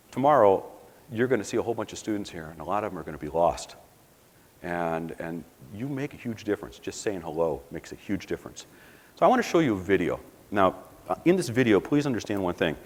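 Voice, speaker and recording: A man speaking informally and earnestly to college staff, with fillers and restarts. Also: sits low. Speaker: college administrator addressing staff. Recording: long hall reverb.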